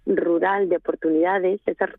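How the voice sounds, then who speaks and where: A woman's voice: on the low side, fairly even intonation, normal speed, dry recording. Woman on a tinny phone line. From Asturias, Spain. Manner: hesitant, many restarts and fillers. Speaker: guest, NGO regional delegate. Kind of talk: radio interview by phone. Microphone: telephone.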